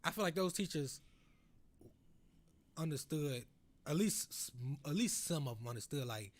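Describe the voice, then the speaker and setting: A man's voice: a little high, lively intonation, moderate pace. An American man co-hosting a podcast, chatting reflectively in slangy, sometimes profane speech and repeating phrases as he goes. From United States. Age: adult over 25. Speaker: podcast co-host. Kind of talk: casual reflective conversation.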